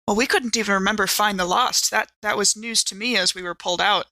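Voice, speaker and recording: A woman's voice: mid-range voice, quick pace, pushed and bright, dry recording. A woman improvising in character in a tabletop roleplaying podcast, wordy and hesitant as she pieces things together. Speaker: player in an actual-play podcast.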